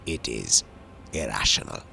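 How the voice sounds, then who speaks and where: A man voicing a stern, coldly logical machine-priest zealot in a game, rasping and weighty. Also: big pitch swings, pushed and bright, a little hiss or hum, heavily acted. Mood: disdainful. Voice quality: rasping, very low. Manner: slow, weighty, clipped pronouncements.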